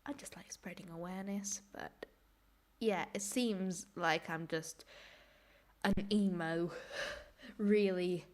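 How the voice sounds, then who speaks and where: A woman reacting casually to clips in a rambling, self-deprecating way, her voice hoarse and giving out from a cold. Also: on the low side, takes it slowly, pauses often. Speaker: host reacting to cartoon clips.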